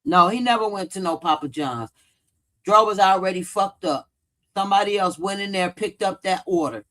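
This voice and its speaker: A man's voice: high voice, moderate pace, pushed and bright. A man hosts a casual livestream. He talks bluntly, cusses freely and hammers points by repeating them, often answering viewers as he goes. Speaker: true-crime commentary livestream host.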